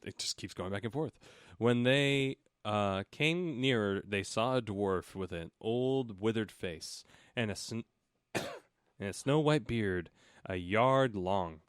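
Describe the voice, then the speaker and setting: A man's voice: fairly low pitch, slow pace, smooth, dry recording, studio quality. A man reading a storybook aloud as a comic co-host, breaking off for casual, irreverent remarks. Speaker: comic audiobook reader and co-host.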